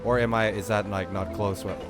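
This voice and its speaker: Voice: sits low, steady tone, moderate pace. A streamer casually commenting on a game, with slangy, muttered asides and exclamations. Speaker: live streamer reacting to a game. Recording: game music behind the voice. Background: game music and dialogue.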